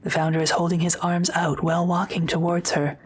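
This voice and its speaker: A woman's voice: lowish voice, little pitch movement, brisk, a little hiss or hum. A woman reading scripted narration for an audio drama.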